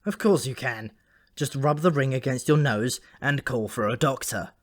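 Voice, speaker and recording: A man's voice: medium pitch, moderate pace, natural voice. A man voicing a grumpy, sneering dwarf prince from myth, dry and complaining, in a storybook. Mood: irritable.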